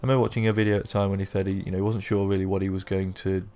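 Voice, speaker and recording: A young man with a sore, croaky throat, rambling casually through let's-play commentary with lots of fillers. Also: sits low, level tone, very fast, dark tone. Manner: rambling, self-deprecating, with many fillers. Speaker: gaming YouTuber.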